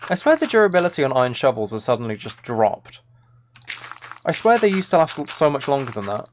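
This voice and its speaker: A man's voice: medium pitch, big pitch swings, a little fast. A man livestreaming a game with casual commentary, muttering to himself in a mildly exasperated way. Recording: keyboard typing and clicking noise. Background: keyboard and mouse clicks.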